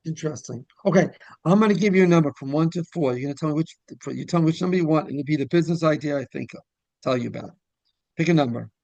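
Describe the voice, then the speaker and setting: A man hosting a casual interview, rambling through halting questions that double back on themselves. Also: a little high, gravelly, a little mellow, dry recording, highs cut off. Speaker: interviewer and show host.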